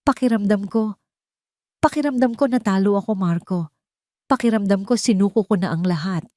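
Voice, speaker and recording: A woman's voice: mid-range voice, moderate pace, warm tone, no echo, studio quality, natural voice. A Filipino woman voicing a betrayed, grieving wife in a romance audio drama, with a heavy, hesitant manner. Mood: defeated, anguished.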